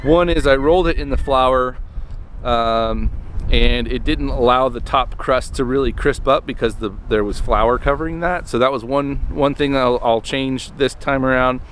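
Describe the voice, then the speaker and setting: A man's voice: medium pitch, normal speed, fairly bright tone, slight background noise. A man in his thirties talking casually to a handheld camera outdoors on his farmstead, rambling with many ums and restarts. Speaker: homestead vlogger. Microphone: handheld camera at arm's length.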